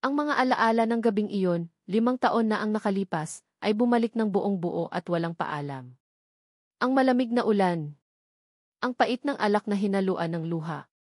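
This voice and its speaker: A woman narrating a scripted romance drama in an expressive, melodramatic storytelling manner. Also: mid-range voice, moderate pace, no echo, clean studio sound.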